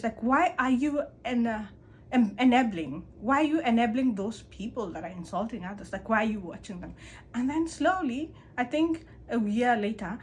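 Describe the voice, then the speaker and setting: A woman vlogger from Kenya, talking candidly about letting go of control in a conversational personal monologue. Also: slight background noise. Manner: candid, conversational, animated emphasis with pitch jumps. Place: Canada.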